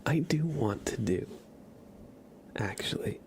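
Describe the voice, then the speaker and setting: Man, hushed whisper, gravelly creak, casual rambling ASMR chat, soft-spoken, slightly stuffy nose. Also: mid-range voice, expressive, slow pace. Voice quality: breathy whisper with a creaky edge. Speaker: ASMR live streamer.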